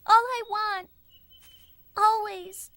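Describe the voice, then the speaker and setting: Very high-pitched young ninja princess. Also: female voice, steady tone, very slow, creaky, sharp tone, heavily acted. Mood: lovestruck. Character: young lovestruck, reluctant female ninja princess in anime. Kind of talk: anime dub acting.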